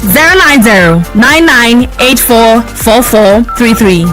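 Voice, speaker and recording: A woman's voice: on the high side, slow pace, over music. A woman reading a scripted promotional announcement for radio.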